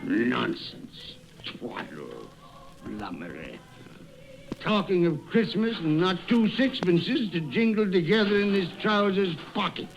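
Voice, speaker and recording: A man's voice: sits high, vocal fry, heavily acted. An actor playing a cold-hearted, miserly old businessman, curt and scornful, in radio drama style. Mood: irritable. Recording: noisy vintage radio recording.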